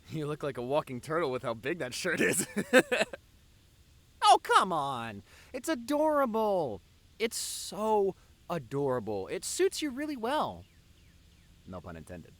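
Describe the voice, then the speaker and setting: Man playing a goofy nerdy boyfriend, animated voice. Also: a little high, heavily acted. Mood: playful teasing. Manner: teasing, stammering when flustered. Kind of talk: intimate boyfriend audio roleplay.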